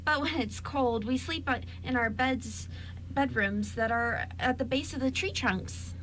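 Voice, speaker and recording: A woman's voice: sits high, unhurried, fairly bright tone, a little hiss or hum, poor audio. A woman playing a tiny, polite, cheerful female fairy in an amateur radio drama. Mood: polite, sweet.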